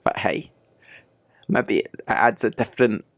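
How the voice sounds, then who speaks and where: A man hosting a podcast with a cold that makes his voice croaky, chatting casually and laughing at his own slips. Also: fairly low pitch, measured pace, dry recording, clean studio sound. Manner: chatty, self-mocking, laughs often. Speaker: podcast host. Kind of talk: casual chat.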